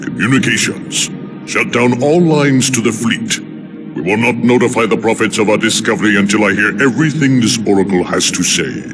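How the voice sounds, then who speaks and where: A man's voice: fairly low pitch, a little fast, lightly acted. A man voicing a grave, devout alien military commander in a video game, solemn and formal in his delivery. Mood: commanding. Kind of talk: video game cutscene acting. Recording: loud music behind the voice.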